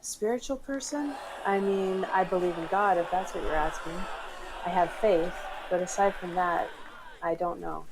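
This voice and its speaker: A woman's voice: on the low side, normal speed, noisy background, close to an everyday voice. Woman playing a skeptical, impatient surgeon. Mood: guarded, polite. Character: impatient, skeptical female surgeon named Alice. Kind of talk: script table read for a screenplay.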